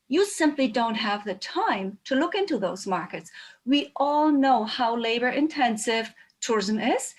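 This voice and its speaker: Woman explaining earnestly. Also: high voice. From Germany. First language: German. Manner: earnest, explanatory, stresses key points. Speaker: tour operator on a panel. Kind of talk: panel discussion.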